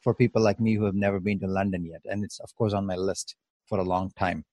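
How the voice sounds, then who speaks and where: A man hosting an interview podcast, polite and halting with many fillers. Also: deep voice, flat delivery, a little mellow, no room sound. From Canada. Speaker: podcast host.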